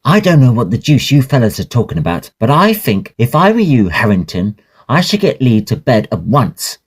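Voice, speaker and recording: Breezy, lively college gentleman. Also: medium pitch, normal speed, slightly rough. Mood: practical. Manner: genteel, chatty, practical. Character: breezy, sensible young male Victorian college gentleman. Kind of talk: audio drama acting.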